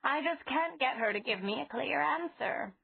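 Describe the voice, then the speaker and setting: A woman doing a gentle old lady witch. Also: high-pitched, lively intonation, vocal fry, voice pushed up. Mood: musing. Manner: soft, fond, slow. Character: elderly woman, gentle fussy boarding-house witch. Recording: tinny phone-like sound.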